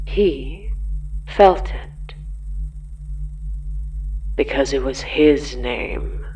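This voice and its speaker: An ominous narrator reads scripted horror at an extremely slow pace. The voice is mid-pitched and deliberate, breaking the text into short phrases with pauses between them. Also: a little mellow, slight background noise, poor audio.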